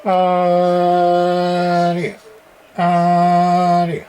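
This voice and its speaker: A man's voice: high voice, completely flat delivery, extremely slow, darkish tone, smooth. A man testing and repairing an old radio, muttering test calls and meter readings in casual workbench commentary.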